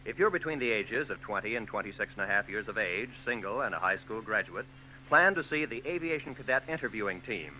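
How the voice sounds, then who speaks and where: A man's voice: lowish voice, lively intonation, brisk, sharp tone, low-quality recording. A man reading a recruiting announcement and show introduction in a formal, emphatic 1940s radio style. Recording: old radio broadcast tape with faint noise.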